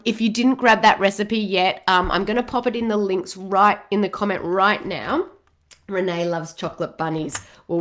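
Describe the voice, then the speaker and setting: A woman's voice: mid-range voice, a bit bright. Chatty Australian woman hosting a livestream. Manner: chatty and upbeat, with frequent fillers, reads lists aloud. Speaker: host of a weekly live Q&A for parents. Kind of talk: casual livestream advice talk. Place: home office room, indoors.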